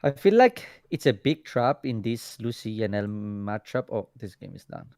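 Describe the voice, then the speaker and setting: A man from Greece with a Greek accent, co-casting a card game tournament in casual, excitable talk that often restarts phrases. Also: fairly low pitch, moderate pace, gravelly, no echo, clean studio sound. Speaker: co-caster.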